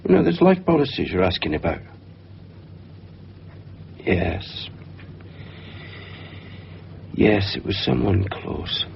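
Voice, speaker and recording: A man's voice: low-pitched, very animated, slow pace, gravelly, pauses often, heavily acted. An actor in a radio drama playing a hesitant, guarded insurance salesman who keeps his feelings private. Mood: guarded, sad. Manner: hesitant, evasive. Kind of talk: 1990s radio drama acting. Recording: muffled, noisy radio drama recording.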